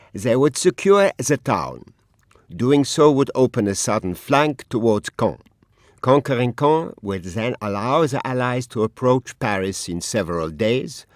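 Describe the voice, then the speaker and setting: A man narrating a war game cutscene in a serious, deliberate documentary style. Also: medium pitch, no echo, clean studio sound, natural voice. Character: serious male narrator of a military briefing.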